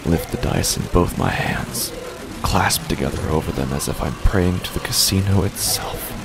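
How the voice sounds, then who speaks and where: A man performing a haunted gambler telling his horror tale in a very deep, creaky voice. He speaks in halting fragments with dramatic pauses and hushed near-whispers, over clearly audible music in an audio drama. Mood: tense dread. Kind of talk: scripted first-person horror narration. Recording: music bed under the voice.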